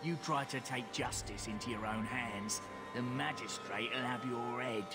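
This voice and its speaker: An actor playing a cynical, wary city guard in a video game, speaking in a dry, cautioning way. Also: male voice, mid-range voice, fairly even intonation, measured pace, over loud music, close to an everyday voice.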